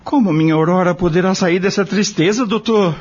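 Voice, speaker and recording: Um ator com sotaque brasileiro interpretando um pai humilde e preocupado do interior, em radionovela, com fala simples e queixosa. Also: male voice, high voice, fairly quick, darkish tone. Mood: worried, puzzled. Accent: Brazilian. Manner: plaintive, simple colloquial speech. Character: humble, worried rural father, a dismissed farmhand. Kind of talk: audio drama acting. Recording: dull lo-fi audio.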